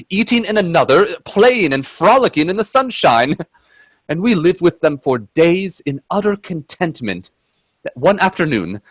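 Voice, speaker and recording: An actor playing a timid, wonder-struck gentleman companion in a radio play, with genteel, hesitant delivery. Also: a little high, no room sound, heavily acted. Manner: hesitant, stammering in dialogue, genteel phrasing. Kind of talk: radio drama acting and first-person narration. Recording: husky dry close audio from video-call performance.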